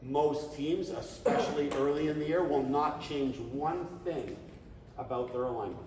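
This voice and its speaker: A man leading a coaching clinic, talking in an animated, conversational way and now and then shouting coaching calls. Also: on the high side, a little slow, background noise. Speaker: flag football coach. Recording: echoey hall, distant mic.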